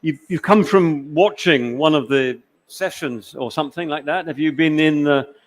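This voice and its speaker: Male panel moderator, voice a little high, chatty and encouraging, live interview style. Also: normal speed.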